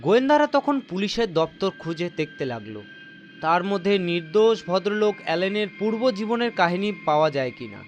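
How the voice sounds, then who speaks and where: A man's voice: high-pitched, sing-song, a little fast. A man narrating a mystery story in a theatrical, suspenseful, scripted style. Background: faint music.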